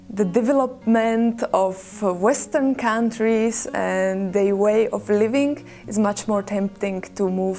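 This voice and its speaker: A woman giving halting interview answers. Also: mid-range voice, darkish tone. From Czech Republic. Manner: slow, halting.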